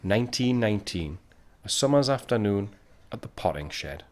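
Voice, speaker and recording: A man's voice: on the low side, expressive, normal speed, slight background noise, lightly acted. A man playing a wistful narrator who looks back reflectively in a scripted audio drama. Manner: reflective storytelling.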